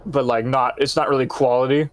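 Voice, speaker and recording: A man's voice: medium pitch, fairly even intonation, brisk, a little hiss or hum. A young man chatting casually about a game with a friend, rambling with lots of fillers and teasing. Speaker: friend co-playing. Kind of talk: casual gaming chat.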